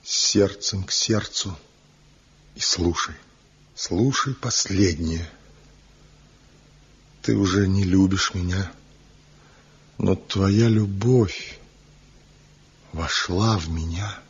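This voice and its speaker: A brooding rejected lover. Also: male voice, sits low, breathy edge, full character voice. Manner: slow, brooding, impassioned declamation. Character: Zhivko, passionate rejected lover, a master carver. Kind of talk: 1970s radio drama acting. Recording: dull lo-fi old radio recording with music.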